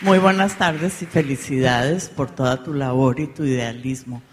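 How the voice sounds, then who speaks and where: A woman's voice: rumbling low, moderate pace, crowd noise, background noise. A woman in the audience asking a pointed, challenging question. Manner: probing, challenging. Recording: long hall reverb.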